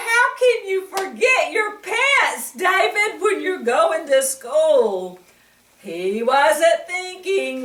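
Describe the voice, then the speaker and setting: A woman reading a picture book aloud to children in an animated way, often putting questions to the listener. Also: high-pitched. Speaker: children's story reader. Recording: echoey room, distant mic.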